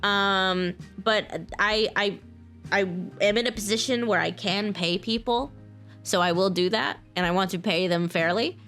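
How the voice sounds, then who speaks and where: An American woman talking casually and candidly, unscripted, in an informal and halting way, like a creator speaking to her audience. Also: medium pitch, a bit bright, soft background music. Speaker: video creator and mod developer. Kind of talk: casual, unscripted talk to camera.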